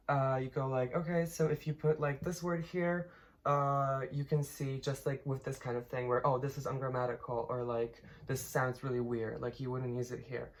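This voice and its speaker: A young woman from Poland, casually explaining her thesis with many fillers, restarts and laughs. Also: a bass voice. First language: Polish. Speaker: linguistics student.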